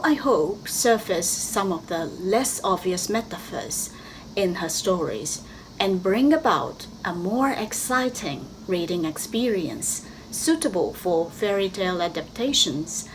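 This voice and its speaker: Female academic author, mid-pitched, scripted presentation, recorded with faint background noise. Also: low-quality recording.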